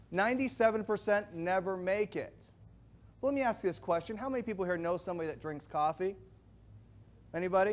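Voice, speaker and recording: A man giving a loud, projecting sales presentation to a live audience, firing questions at the crowd. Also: high-pitched. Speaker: product presenter.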